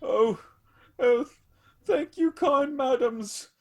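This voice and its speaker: A woman's voice: high-pitched, extremely slow, lo-fi sound, noisy background, heavily acted. A woman playing a humble, pleading male beggar in rags in a radio play.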